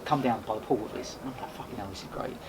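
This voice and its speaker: A man telling rambling anecdotes in a casual podcast chat, animated and full of restarts. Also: lowish voice, rapid-fire. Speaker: podcast host and guest. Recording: noisy background.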